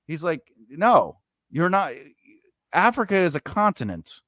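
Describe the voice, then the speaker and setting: A man's voice: fairly high pitch, big pitch swings, measured pace, bright, forceful tone, no room sound, studio quality. A man hosting a casual podcast chat, rambling with lots of fillers and restarts. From United States. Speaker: podcast host.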